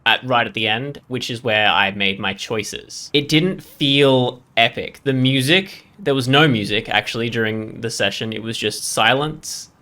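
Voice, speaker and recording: Male gaming host, mid-pitched and bright, talking through opinions off the cuff in a loose, rambling way. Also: measured pace, few pauses. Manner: rambling, thinking aloud, often seeking agreement with "right?". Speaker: host of a game playthrough channel. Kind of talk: casual off-the-cuff game review commentary.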